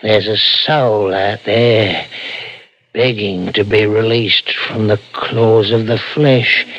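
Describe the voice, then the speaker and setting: An actor playing a brooding, deranged husband and murderer in a 1960s radio suspense drama, haunted and menacing. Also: male voice, lowish voice, steady tone, takes it slowly, a bit bright. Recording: dull old radio broadcast, music behind.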